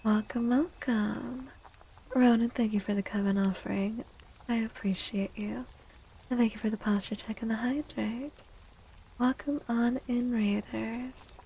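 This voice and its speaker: A woman host speaking softly and gently in a livestream ASMR style, breathy and often slipping into a whisper, drawing out her words. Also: a little high, fairly even intonation, low-quality recording. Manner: slow, gentle, drawn-out words. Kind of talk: soft-spoken ASMR livestream chat.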